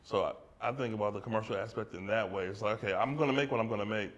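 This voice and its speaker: A man with a somewhat low voice speaks casually in an art gallery, fielding questions from the audience. He talks loosely and conversationally, tossing in "right?" and "like" as he works through his thoughts. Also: quick pace, muffled recording. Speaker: artist discussing his exhibition.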